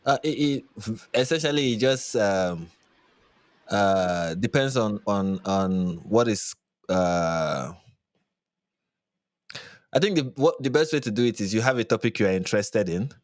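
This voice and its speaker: A man's voice: on the low side, lively intonation, a little slow, long pauses, dry recording. A Nigerian man speaking casually as an interview guest, explaining his views emphatically and repeating phrases. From Lagos, Nigeria. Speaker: YouTube commentator, podcast guest.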